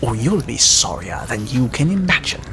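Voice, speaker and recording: A man's voice: medium pitch, big pitch swings, moderate pace, music in the background. An actor playing a smugly menacing debt collector's henchman in an adventure audio drama, with a formal, needling way of talking. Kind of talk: 1930s-set adventure audio drama acting.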